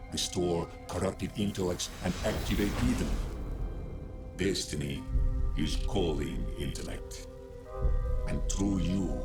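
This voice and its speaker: An actor playing a solemn storyteller, narrating in a grave, measured, portentous way as in a cinematic game. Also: male voice, low-pitched. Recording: loud music under the voice.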